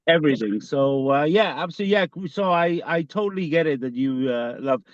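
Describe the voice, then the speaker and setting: A man, co-hosting a podcast in casual conversation, halting with many fillers and restarts. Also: fairly high pitch, animated, no room sound. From Iran. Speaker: podcast co-host. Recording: slightly dull lo-fi sound.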